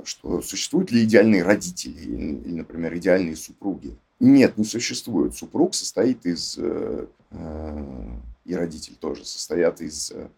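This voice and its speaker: Man, low husky mellow voice, reflective and hesitant, casual interview talk. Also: lively intonation, normal speed. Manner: thoughtful, hesitant with many fillers. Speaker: podcast guest, team lead mentor.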